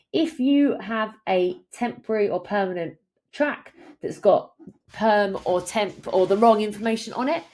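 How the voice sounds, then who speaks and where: A woman in her thirties presenting a software tutorial as a conversational, step-by-step walkthrough. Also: lowish voice, gravelly.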